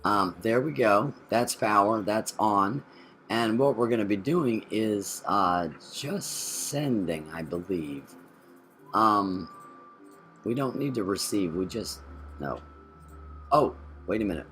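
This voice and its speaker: A man's voice: fairly low pitch, expressive, takes it slowly, a bit bright, a little hiss or hum. A man co-hosting a gameplay video, thinking aloud as he plays and correcting himself mid-sentence. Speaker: gameplay channel co-host. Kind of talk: casual gameplay commentary.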